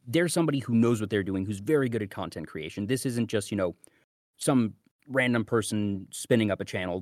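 Low-voiced man co-hosting a podcast. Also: no echo, studio quality. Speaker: podcast co-host. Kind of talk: casual fandom discussion.

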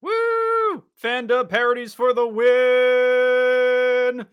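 A man's voice: extremely high pitch, drawn-out words, smooth, no echo, lo-fi sound. A man co-hosting a podcast in casual, bantering chat. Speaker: podcast co-host.

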